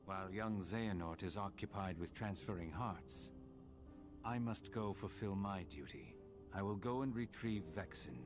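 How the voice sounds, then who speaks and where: Man acting a very deep, grave villain strategist. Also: over music, lightly acted. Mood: resolute. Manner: measured, formal, stoic. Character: grave, dutiful male villain strategist, coolly formal. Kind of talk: dramatic video game cutscene acting.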